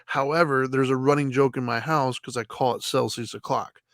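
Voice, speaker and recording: A man co-hosting a casual talk show, explaining sleep habits in an easygoing way, often halting and restarting his sentences. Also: medium pitch, fairly even intonation, a bit bright, no echo, studio quality. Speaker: podcast co-host.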